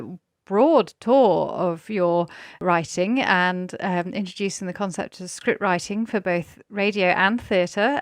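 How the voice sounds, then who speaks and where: Clear-voiced woman hosting a podcast. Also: mid-range voice, no room sound, studio quality. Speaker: podcast host and publisher.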